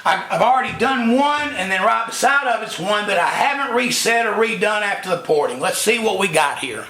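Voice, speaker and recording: A man's voice: sits high, expressive, fairly quick, bright, forceful tone, slight background noise. A man losing his voice, walking viewers through a cylinder head job in a folksy, explanatory workshop demonstration. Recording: echoey workshop.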